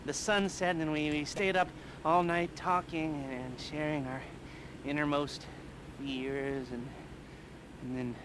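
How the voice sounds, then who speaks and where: High-pitched, neurotic criminal mastermind. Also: male voice, steady tone, noisy background. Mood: sentimental, rambling. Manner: halting, rambling, sardonic, with nervous giggles. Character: nerdy, neurotic, excitable criminal heist mastermind with a cane. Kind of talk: video game cutscene and mission dialogue acting.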